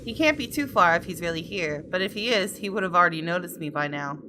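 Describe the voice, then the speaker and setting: Female gaming host with a lowish voice, reading story text dramatically, clear background noise. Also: expressive, fast talker. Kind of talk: expressive read-aloud of a visual novel with asides.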